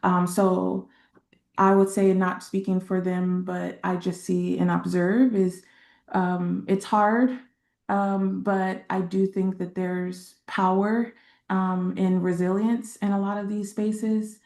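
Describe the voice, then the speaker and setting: A woman from Texas, a community organizer speaking on a webinar panel in a halting way, with many fillers and pauses. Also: medium pitch, level tone, gravelly, darkish tone, echoey room. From San Antonio, Texas.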